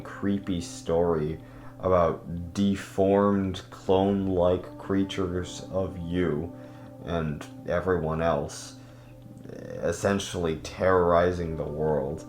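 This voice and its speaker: Male video essay host, deep register, casual and emphatic, sweary, with music playing underneath. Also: darkish tone, roomy sound. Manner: informal, emphatic, repeats intensifiers, swears casually.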